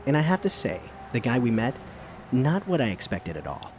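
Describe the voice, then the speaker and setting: A man's voice: medium pitch, sing-song, moderate pace, natural voice. A man playing an inquisitive radio documentary host, narrating and interviewing with earnest, understated curiosity.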